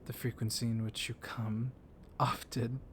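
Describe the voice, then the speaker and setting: A man playing a seductive, softly dominant vampire librarian in an ASMR roleplay, speaking in a hushed, flirtatious way with lingering pauses. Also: mid-range voice, flat delivery, background noise, natural voice. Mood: smitten, beguiling. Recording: loud rainstorm ambience with thunder. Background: rain and thunder ambience.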